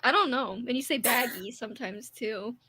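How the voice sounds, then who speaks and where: A woman's voice: a little high, moderate pace, sharp tone, breathy edge. A woman from Texas co-hosting a book club livestream, teasing her friend in easygoing chat.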